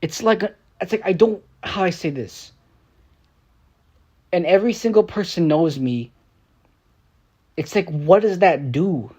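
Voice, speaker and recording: A young man, a streamer and rapper, ranting on a live stream in a rambling, profane, frustrated way. He drops into low mutters and then bursts out loud. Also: measured pace, long pauses, slightly rough. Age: young adult. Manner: swings between low mutters and raised outbursts.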